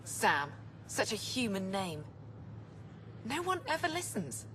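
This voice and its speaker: Guilt-ridden woman scientist, anguished, lively pitch swings. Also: slow pace, a little hiss or hum. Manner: tormented, stammering under strain. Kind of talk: video game dialogue.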